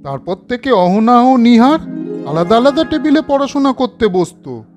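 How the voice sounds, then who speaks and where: A woman's voice: on the high side, very animated, normal speed, over music. A woman storyteller reading scripted narration and addressing young viewers directly.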